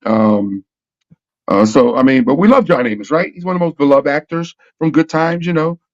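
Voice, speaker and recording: A man's voice: a little high, normal speed, no echo. Slightly lo-fi dry audio, guy hosting a panel show, midrange voice, emphatic, repeats himself a lot. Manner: repetitive, emphatic, argues with rhetorical questions. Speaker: talk show host. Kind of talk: casual panel discussion.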